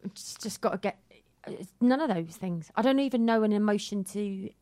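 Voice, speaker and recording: A woman's voice: mid-range voice, lively intonation, moderate pace, mellow. A woman co-hosting a radio show, speaking frankly and emphatically in casual chat. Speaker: radio co-host. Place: radio studio.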